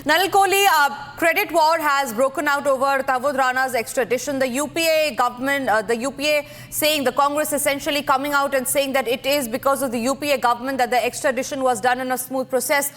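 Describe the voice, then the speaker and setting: Indian lady reading breaking news live, high voice, urgent, keeps repeating herself. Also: steady tone, a little fast, few pauses, a bit bright. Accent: Indian English. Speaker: news anchor.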